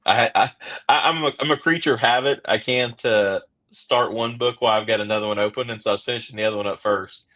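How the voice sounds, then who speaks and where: A man's voice: medium pitch, quick pace, sharp tone. A man with an Oklahoma accent hosting a podcast, chatting casually and rambling with many fillers and restarts. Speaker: podcast host. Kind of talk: casual interview chat.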